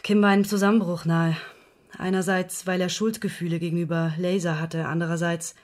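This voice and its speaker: An actress in a radio play playing a distressed woman who confides intimate matters in a halting, emotionally wounded way. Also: lowish voice, level tone, darkish tone, lightly acted. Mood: confiding, troubled. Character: distressed woman confessing her secret love affair to investigator.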